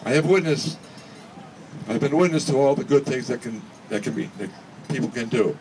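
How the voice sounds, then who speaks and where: A man reading a prepared speech at a charity event in an earnest, measured way, occasionally stumbling over words. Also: mid-range voice, darkish tone. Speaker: speaker reading another person's speech. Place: charity event finish line, before a gathering. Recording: distant PA speech with background noise.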